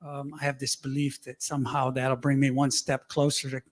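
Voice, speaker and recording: Halting man, many restarts. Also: mid-range voice, monotone. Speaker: speaker on a panel. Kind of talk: casual talk to an audience.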